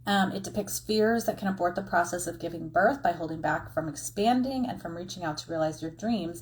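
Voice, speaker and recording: A woman's voice: on the low side, slight background noise. Woman casually explaining an oracle card reading. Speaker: tarot and oracle card reader.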